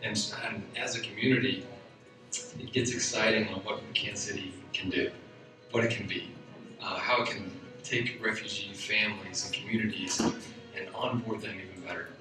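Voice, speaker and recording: A man's voice: fairly low pitch, steady tone. Plain-spoken man in an echoey room. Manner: plain-spoken, halting with repeats. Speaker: company president. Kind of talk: documentary interview. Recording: echoey, from far off, with music.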